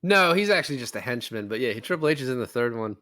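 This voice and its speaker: A man's voice: medium pitch, expressive, quick pace, sharp tone, no room sound, studio quality. A man talking casually about films as a podcast co-host, explaining things in a conversational way. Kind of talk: casual film discussion.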